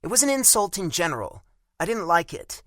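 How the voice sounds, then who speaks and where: A man's voice: high-pitched, big pitch swings, a little fast, dry recording, clean studio sound, voice pushed up. A man voicing an irritated young American woman who talks bluntly and with sarcastic bite. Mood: angry. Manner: sharp, sarcastic, cutting.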